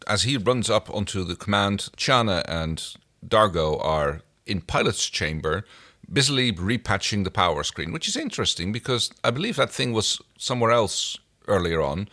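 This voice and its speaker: A man's voice: lowish voice, sing-song, moderate pace, pushed and bright. A man co-hosting a podcast, recapping a TV episode in casual chat with a wry, jokey manner. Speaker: podcast co-host.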